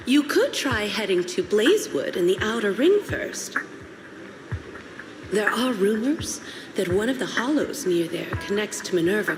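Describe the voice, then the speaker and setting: A woman playing a courteous, helpful flower shopkeeper in a video game, speaking in a polite, gentle, explanatory way. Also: medium pitch, lightly acted. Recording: roomy sound with clear music behind.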